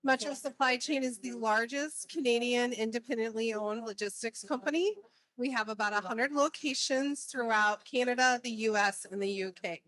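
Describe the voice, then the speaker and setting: A woman's voice: on the high side, normal speed, fairly bright tone, rough recording. Woman giving a formal event welcome. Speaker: sales director introducing a session. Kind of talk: event introduction.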